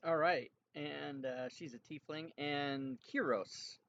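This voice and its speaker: A man's voice: on the high side, fairly even intonation, slow pace, a bit bright, muffled recording, slight background noise. Easygoing man running a tabletop game. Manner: chatty, easygoing, laughs often. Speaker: game master.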